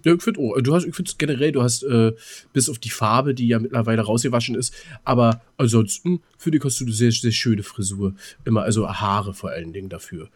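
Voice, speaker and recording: A man's voice: medium pitch, brisk. A man chatting casually as co-host of a podcast. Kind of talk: casual chat.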